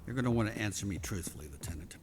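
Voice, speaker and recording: A stern admiral with a lowish voice and an authoritative, clipped way of giving commands. He sounds like a drama's mission commander, with clear music playing behind him. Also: lively intonation, very fast. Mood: grave, probing. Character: stern, commanding male admiral running covert operations. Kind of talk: dramatic scripted acting.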